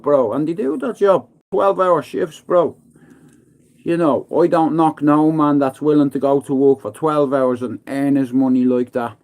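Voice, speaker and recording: A man, living in Manchester, telling a rambling, profane story on a livestream and acting out his own lines to others. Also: medium pitch. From Ireland. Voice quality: profane, slangy, calls everyone bro. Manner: animated, acts out his own threats and taunts in hushed voice. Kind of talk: casual storytelling monologue.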